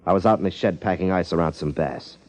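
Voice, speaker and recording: A man acting a sullen, slow-witted crook in a 1950s radio drama, hesitant and guarded. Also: low-pitched, a little mellow, natural voice, low-quality recording. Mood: confessing. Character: cornered small-time male crook, sullen, slow-witted impostor.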